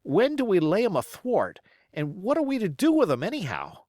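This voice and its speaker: A man as an eager, high-voiced young pirate. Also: huge pitch swings, normal speed, darkish tone, no echo, studio quality. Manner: questioning, rough sailor speech.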